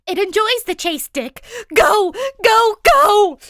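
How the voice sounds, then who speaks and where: Woman voicing a high, indignant, skittish young girl. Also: animated, slow, fairly bright tone, dry recording, clean studio sound, exaggerated voice. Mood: panicked urgency. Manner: indignant, emphatic, argumentative. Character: skittish, stubborn young girl afraid of cats. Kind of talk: audiobook character voice, fan fiction reading.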